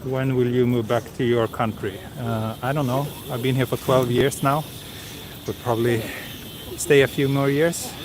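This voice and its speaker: A man chats his way through a walking live stream, pausing on fillers as he reads out and answers viewers' comments. His voice sits at an ordinary middle pitch. A handheld mic outdoors picks him up with steady city street noise behind. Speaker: livestream walking vlogger. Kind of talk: casual live-stream chat. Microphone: handheld camera with small recorder mic and wind cover. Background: city street ambience.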